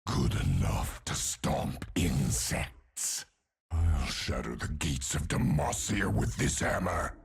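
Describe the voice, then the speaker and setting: An actor playing a hulking, bloodthirsty undead warlord from a video game, with a guttural, raspy voice and a grim, menacing delivery. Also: male voice, very deep voice, animated, slow pace, exaggerated voice. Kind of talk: video game character voice lines.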